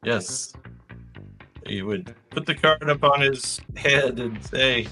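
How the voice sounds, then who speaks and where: A man's voice: mid-range voice, slow, bright, forceful tone, faint music behind. A man hosting a casual live trivia show, reading out facts and adding chatty, rambling asides.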